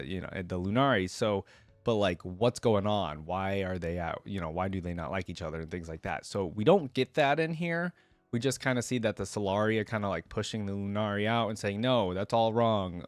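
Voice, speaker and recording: A man's voice: lowish voice, no echo. A man giving casual reaction commentary and reading fantasy lore aloud as the host of a reaction video.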